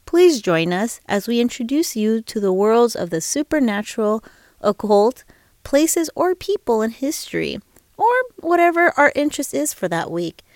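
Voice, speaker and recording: A woman's voice: fairly high pitch, big pitch swings, normal speed, darkish tone, no echo, studio quality. A woman co-hosting a podcast, reading a scripted, welcoming introduction.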